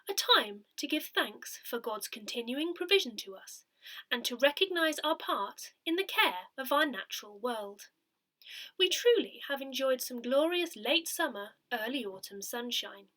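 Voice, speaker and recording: A woman reading a church newsletter aloud in a measured, carefully phrased way. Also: on the high side, pushed and bright. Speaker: volunteer reader of an audio newsletter.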